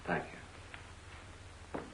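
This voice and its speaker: An actor playing a worried, formal company executive, courteous and firm, in 1940s film serial style. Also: male voice, very slow, a bit bright, low-quality recording. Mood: earnest, concerned. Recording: hissy old film soundtrack.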